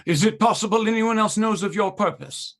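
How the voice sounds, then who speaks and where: A man's voice: high voice, fairly quick, a bit bright. An actor playing a nervous, obsequious sheriff in a radio play, flustered and stammering as he courts favor. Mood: fawning. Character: fawning, nervous male sheriff, greedy for favor.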